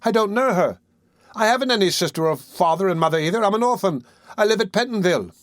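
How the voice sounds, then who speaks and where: A man's voice: high-pitched, lively intonation, fast talker, no echo, clean studio sound, voice pushed up. A man reading aloud and voicing a frightened young orphan boy crying out in alarm.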